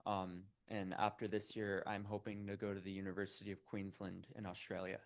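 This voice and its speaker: A teenage male student with a fairly low voice answers questions in front of a meeting. His phrasing is casual and youthful, feeling its way along with some hesitation. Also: level tone, fairly quick.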